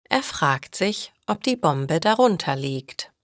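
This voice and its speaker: Slow, lower-pitched woman reading a story. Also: very animated, no room sound, studio quality. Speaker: narrator of stories for German learners. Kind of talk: slow, scripted story narration for language learners.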